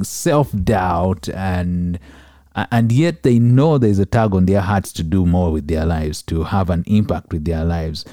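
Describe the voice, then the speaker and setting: A man's voice: fairly low pitch, dark tone, no echo, clean studio sound. A man hosting an interview podcast, thoughtfully asking questions and recapping his guest's points. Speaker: podcast host.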